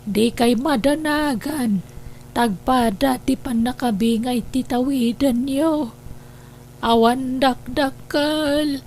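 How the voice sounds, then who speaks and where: A woman voicing a frail, dying elderly mother in an Ilocano audio drama, speaking weakly and slowly. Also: sits high, a little mellow, slight background noise. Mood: frail, tender. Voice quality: gravelly, slow and weak. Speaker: voice actor.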